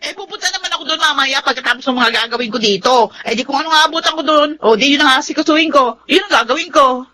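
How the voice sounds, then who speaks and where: A woman's voice: sits high, fast talker, sharp tone. A woman acting a busy, stubborn wife who will not yield, in a Tagalog radio drama. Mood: defensive.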